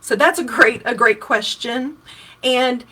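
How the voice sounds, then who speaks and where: A woman's voice: on the high side, a little slow, noisy background. A woman in her fifties, a political candidate from Kentucky, explaining voting options and answering viewer questions in a casual, conversational livestream with frequent restarts. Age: 50s.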